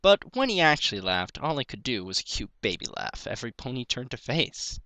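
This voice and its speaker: A man's voice: mid-range voice, big pitch swings, brisk, bright, forceful tone, dry recording. A man narrating a piece of fan fiction, reading it aloud as a story.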